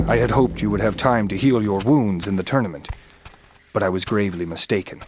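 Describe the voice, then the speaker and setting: An actor playing a solemn, commanding thunder god who mentors warriors in a video game, speaking formally and with measured gravity. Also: male voice, lowish voice, noisy background, natural voice. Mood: grave. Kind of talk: video game cutscene acting. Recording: loud music behind the voice.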